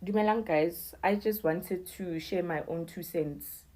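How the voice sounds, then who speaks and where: A woman's voice: fairly low pitch, a little slow, a crowd in the background, noisy background. A South African woman giving an emphatic opinion monologue in short, declarative sentences. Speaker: commentator.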